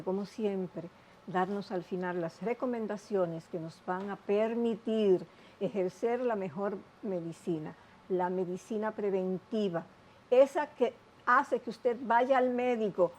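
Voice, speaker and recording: A woman hosting a TV health interview show, speaking in a measured, explanatory way with many asides. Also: mid-range voice, a little mellow, slight background noise, low-quality recording.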